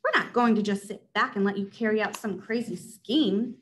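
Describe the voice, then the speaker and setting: A woman's voice: medium pitch, normal speed, fairly bright tone, a little hiss or hum, natural voice. A woman voicing an earnest, stubborn child hero in a children's storybook, challenging and suspicious.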